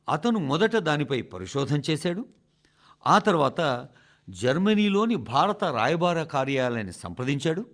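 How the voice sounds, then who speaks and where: Expressive, high-pitched man reading an address. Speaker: radio reader of a translated national address. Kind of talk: scripted broadcast narration, oratorical address.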